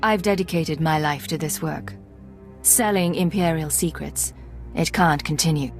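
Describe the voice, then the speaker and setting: An actress playing a composed, dutiful, coolly suspicious Imperial agent in a video game, formal and controlled. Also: female voice, low-pitched, a little slow, soft background music. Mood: stern, disappointed.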